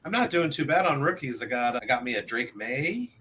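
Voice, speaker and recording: Upbeat host guy, bright lively voice, bit of hiss behind him. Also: mid-range voice, a little fast. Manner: upbeat, teasing, energetic. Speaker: podcast host. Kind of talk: casual podcast chat. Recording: faint background hiss.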